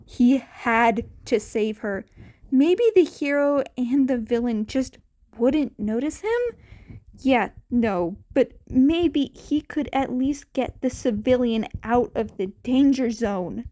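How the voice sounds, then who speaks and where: A woman reading fan fiction aloud as a scripted narration, deliberate, with the odd small stumble over a word. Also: on the high side, warm tone. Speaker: podfic narrator.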